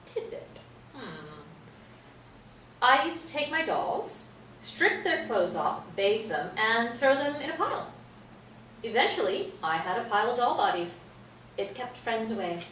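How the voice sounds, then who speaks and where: A woman's voice: on the high side, sing-song, unhurried, long pauses, fairly bright tone. An actress playing a sardonic, exasperated woman playwright in a staged play reading. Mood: dry, guarded. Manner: sarcastic, exasperated. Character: tense, sardonic New York woman playwright. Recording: roomy, distant stage recording, faint noise.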